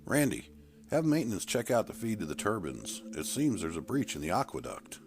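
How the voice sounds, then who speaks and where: A man narrating puts on a commanding leader of an underground city for a storybook. His voice jumps up and swings in pitch, brisk and condescending but courteous. There is clear background noise. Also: very animated. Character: brisk, authoritative elder brother running an underground city.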